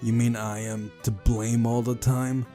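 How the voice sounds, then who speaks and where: Man acting as reproachful young male game hero, indignant, questioning, mellow timbre, music behind. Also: mid-range voice, flat delivery, measured pace, close to an everyday voice.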